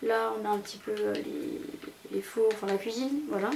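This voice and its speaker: A woman's voice: mid-range voice, steady tone, fairly quick, gravelly, darkish tone. A young woman giving a casual, rambling, hesitant commentary as she tours a video game. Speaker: gaming channel host. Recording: amateur home recording with background noise.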